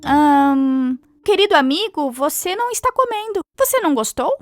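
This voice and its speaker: Woman voicing a high, lively, gentle cartoon stork. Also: normal speed, no echo, clean studio sound, soft background music. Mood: politely pleased. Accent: Brazilian. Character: gentle, patient female stork, polite and wise. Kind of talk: children's cartoon dubbing.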